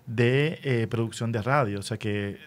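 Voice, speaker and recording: A man's voice: medium pitch, a little slow. Man hosting an interview. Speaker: radio interviewer, host. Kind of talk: conversational radio interview.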